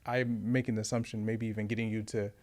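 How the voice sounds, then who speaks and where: A man's voice: on the low side, level tone, fast talker, darkish tone. Laid-back, affirming male podcast host. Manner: laid-back and affirming, slangy, thinks aloud with restarts. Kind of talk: casual interview chat.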